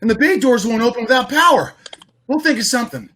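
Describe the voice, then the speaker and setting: Quick, eager animated superhero speedster. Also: male voice, extremely high pitch, crowd noise. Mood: brisk. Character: eager, urgent young male superhero speedster. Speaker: voice actor at a cast table read. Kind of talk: animated superhero script reading.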